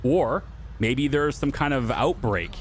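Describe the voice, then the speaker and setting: A man with a medium-pitched voice hosts a gaming video. He rambles casually, thinking aloud as he speaks straight to his audience in unscripted commentary. Music plays behind his voice. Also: lively intonation, slow pace. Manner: chatty, rambling, thinking aloud, addressing viewers and developers directly. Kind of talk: casual off-the-cuff game commentary to viewers.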